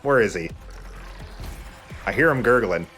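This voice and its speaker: A man's voice: on the low side, lively intonation, unhurried, sharp tone. A man giving casual, rambling explanations as he hosts a let's play of a video game. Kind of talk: casual gameplay commentary. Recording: game music behind the voice. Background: video game music.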